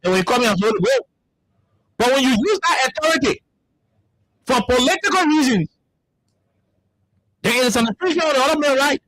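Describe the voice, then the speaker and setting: A man's voice: very high-pitched, very animated, brisk, pauses often, a bit bright, low-quality recording. A man from Liberia with a Liberian English accent, hosting a radio talk show with heated political commentary and repeating words as he searches for them. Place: radio studio.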